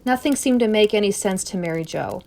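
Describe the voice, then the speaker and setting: A woman reading a scary story aloud as narrator, expressive and suspenseful, with deliberate phrasing. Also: mid-range voice, gravelly. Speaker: story reader.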